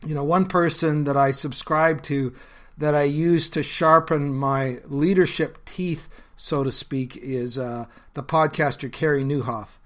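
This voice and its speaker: A man with a bad cold talks through his podcast, his voice sitting a bit lower and rougher than usual. He speaks earnestly and conversationally, pausing on fillers as he thinks aloud. His pitch still sits a little high for a man. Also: fairly even intonation, fairly bright tone. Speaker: podcast host and pastor. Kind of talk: reflective solo podcast talk.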